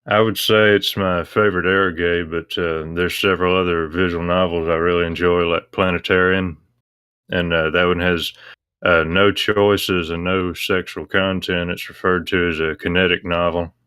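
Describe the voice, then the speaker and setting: Low, even-toned man with a crisp voice. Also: normal speed, no room sound, clean studio sound. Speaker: interview guest. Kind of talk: casual explanatory chat.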